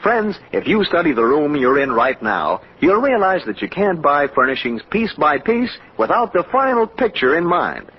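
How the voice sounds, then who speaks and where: Male American announcer for period radio ad; high, husky, persuasive, old-broadcast sound. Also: big pitch swings, normal speed, few pauses. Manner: polished, persuasive, addressing listeners directly. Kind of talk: mid-century American radio advertising read. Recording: muffled old radio recording.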